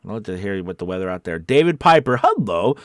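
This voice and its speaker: A man's voice: fairly low pitch, big pitch swings, fast talker, no room sound, clean studio sound. An American man hosting a casual livestream as a voice-over coach, chatting with viewers in an upbeat, encouraging, informal way and often repeating phrases for emphasis. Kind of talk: casual livestream chat, reading and answering viewer comments.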